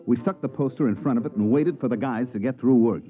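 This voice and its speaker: An actor playing a fast-talking male swindler and promoter in a 1950s radio drama, who also narrates the story with slick salesman patter. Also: mid-range voice, soft background music, natural voice. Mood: narrating. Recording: dull vintage radio recording.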